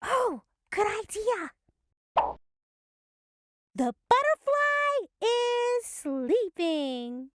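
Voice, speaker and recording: A woman's voice: very high-pitched, sing-song, very slow, long pauses, a bit bright, no echo, clean studio sound. A woman narrating and co-hosting a playful children's reading lesson. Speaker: narrator and co-host.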